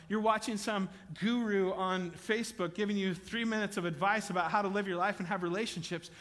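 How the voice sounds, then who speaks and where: A man preaching a sermon in a church auditorium, chatty and joking with the congregation, then building to loud, emphatic bursts. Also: high voice, big-room sound. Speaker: pastor.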